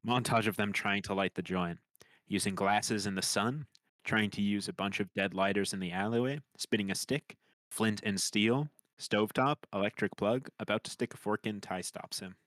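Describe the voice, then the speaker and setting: A man's voice: fairly low pitch, moderate pace, a bit bright, no echo. A man reading screenplay stage directions as scripted narration.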